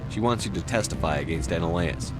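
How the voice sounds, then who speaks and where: A man playing a steady, direct military commander in a video game, level and to the point. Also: low-pitched, fast, loud music behind the voice, lightly acted, low-quality recording. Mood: persuasive. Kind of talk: scripted video game acting.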